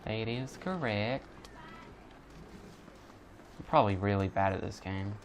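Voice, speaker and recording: Low-voiced man casually commenting on a game. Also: a little slow, a little hiss or hum. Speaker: gamer recording a playthrough.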